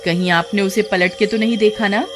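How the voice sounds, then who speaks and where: A woman's voice: mid-range voice, steady tone, moderate pace, noisy background, close to an everyday voice. A woman playing a fretful, questioning village wife in a cartoon story. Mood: anxious. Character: worried, scolding village wife of a woodcutter. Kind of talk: Hindi cartoon story dialogue. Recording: music behind the voice.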